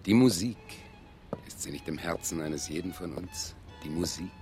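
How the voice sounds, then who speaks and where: An earnest, dreamy male researcher in a radio play. His voice sits fairly low and swings widely in pitch, expressive and easily flustered, with music playing behind him. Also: moderate pace. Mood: rapt, poetic.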